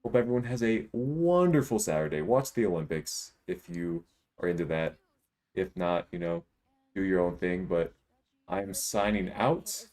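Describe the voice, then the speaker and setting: A man in his twenties with a deep voice, chatting casually and jokingly while live-streaming a video game. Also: lively intonation, unhurried, a little mellow. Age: 20s. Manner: laid-back, joking, rambling.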